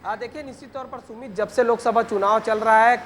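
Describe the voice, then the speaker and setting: A man's voice: very high-pitched, fairly even intonation, a little fast, fairly bright tone. A man giving a live news report as a field reporter, talking in long, wordy run-on sentences. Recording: noisy live link with ambient sound.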